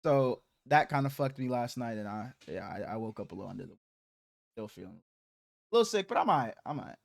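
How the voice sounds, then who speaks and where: A man's voice: medium pitch, very animated, fast talker, vocal fry. A man hosting a casual sports podcast, slightly congested, talking in loose, profane slang. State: slightly congested, under the weather.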